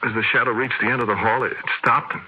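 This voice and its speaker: An actor playing a shaken, haunted man who confides a ghostly encounter in halting, emphatic phrases, in the style of old-time radio horror. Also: medium pitch, fairly even intonation, pushed and bright, slightly rough, lo-fi sound. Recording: vintage radio recording.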